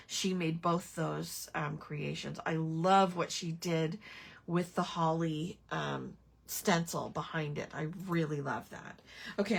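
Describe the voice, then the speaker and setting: Crafting host: woman, low gravelly husky voice, slow rambling chat to viewers. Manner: rambling, chatty, talks to viewers while working. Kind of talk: casual crafting livestream chat.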